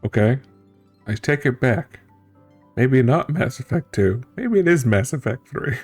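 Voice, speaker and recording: A man's voice: mid-range voice, lively intonation, unhurried, darkish tone, soft background music. A male streamer giving casual gameplay commentary, musing wryly and sometimes reading aloud. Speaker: streamer playing and reacting to a story game.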